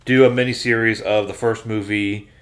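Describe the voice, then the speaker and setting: Male American podcast host, lower register, casual conversational delivery. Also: fairly even intonation, normal speed. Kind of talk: casual panel chat.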